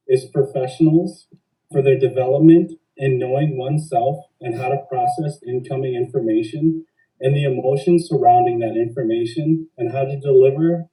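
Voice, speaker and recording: A man hosting a podcast, speaking in a measured, formal way. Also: mid-range voice, dark tone, recorded from a distance, rough recording. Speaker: podcast host. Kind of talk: semi-scripted podcast hosting.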